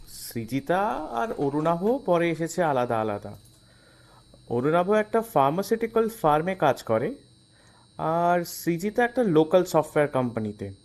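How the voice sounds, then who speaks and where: An actor playing a friendly young engineer in an audio drama, speaking conversationally. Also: male voice, a little high, a little mellow.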